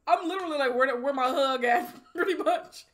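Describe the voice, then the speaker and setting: A young woman giving casual, excitable movie reaction commentary full of slang and swearing. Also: high-pitched, moderate pace. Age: young adult. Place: small bedroom studio, indoors. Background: film soundtrack music.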